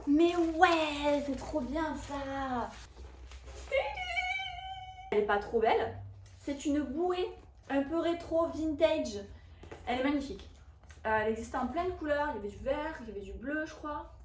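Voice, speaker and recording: Woman, 30s, high-pitched, chatty haul vlogger who rambles through asides. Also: lively intonation, a little slow, long pauses, a little mellow, slight background noise. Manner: chatty and rambling, with frequent fillers and self-corrections. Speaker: vlogger reviewing a shopping haul. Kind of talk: casual haul chat to camera.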